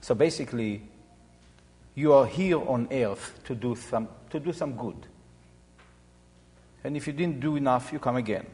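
A man in his seventies from Israel, explaining informally to an audience in a halting, reflective way. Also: medium pitch, a little mellow. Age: 70s. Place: large echoey room or hall. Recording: reverberant hall sound.

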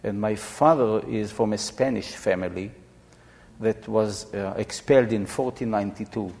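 A man in his seventies from Israel, explaining informally to an audience in a halting, reflective way. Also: fairly low pitch, vocal fry, darkish tone. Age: 70s. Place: large echoey room or hall. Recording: reverberant hall sound.

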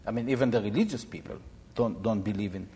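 A man in his seventies from Israel, explaining informally to an audience in a halting, reflective way. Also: on the low side, dark tone. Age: 70s. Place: large echoey room or hall. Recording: reverberant hall sound.

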